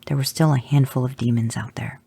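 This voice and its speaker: Gravelly, husky storybook narrator. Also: mid-range voice, a little fast, no echo. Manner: steady second-person storytelling. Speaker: podcast host reading fanfic. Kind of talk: audio fanfic narration.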